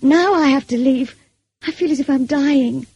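A woman's voice: high-pitched, big pitch swings, normal speed, mellow, breathy edge, no echo, voice pushed up, exaggerated voice. A woman voicing an earnest, passionate young governess in an audiobook story, emotional and pleading. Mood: anguished pleading.

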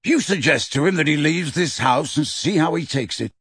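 A man voicing a sardonic, bitter adult man who speaks coldly and curtly, with a slightly husky tone. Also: fairly high pitch, animated, normal speed, a bit bright, no room sound, clean studio sound, pitched above the performer's own voice. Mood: cold irritation. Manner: cold, curt and irritable. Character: sardonic, cold adult man, estranged from his family. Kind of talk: audiobook character voice.

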